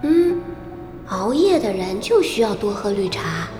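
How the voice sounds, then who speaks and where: Woman playing a considerate young woman, lively, echoey. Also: mid-range voice, unhurried, over music. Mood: caring. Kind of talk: audio drama acting.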